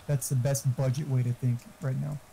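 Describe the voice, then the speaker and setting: A man's voice: medium pitch, little pitch movement, normal speed, gravelly, dark tone, a little hiss or hum, rough recording. A man chatting casually and giving tech advice in a blunt, explanatory, sweary way. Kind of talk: casual chat. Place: online voice chat.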